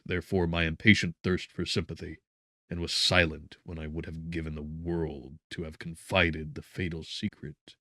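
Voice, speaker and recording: A man narrating a gothic novel as an audiobook, in a solemn, measured and theatrical manner. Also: a bass voice, a bit bright, no echo, studio quality.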